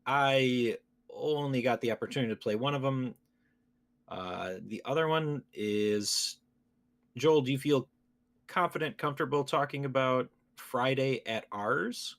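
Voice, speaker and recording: A man's voice: medium pitch, a little slow, a bit bright. A man hosting a gaming podcast, talking casually and conversationally with his co-hosts. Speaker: podcast host.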